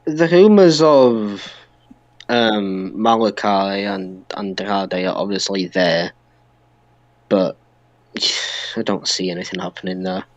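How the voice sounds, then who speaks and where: Low-voiced man chatting in a roomy space. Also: slow pace. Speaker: podcast co-host. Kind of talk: casual wrestling podcast chat.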